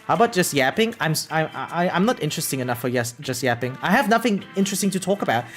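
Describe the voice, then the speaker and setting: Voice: mid-range voice, sing-song, fast talker, fairly bright tone. Rambling streamer chatting over music, mid-range voice. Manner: rambling, repeats words, self-deprecating, informal swearing. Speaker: live streamer. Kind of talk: casual chat with viewers, reading out and answering comments. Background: background music.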